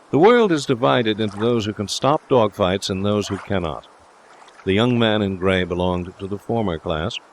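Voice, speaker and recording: A man's voice: low-pitched, slight background noise. A man reading scripted literary narration as an audiobook narrator.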